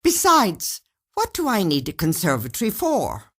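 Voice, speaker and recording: Woman as older husband, storybook character, grumpy, sarcastic, dismissive, complaining, animated intonation. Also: mid-range voice, normal speed, husky, voice pushed up, exaggerated voice. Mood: indignant, complaining.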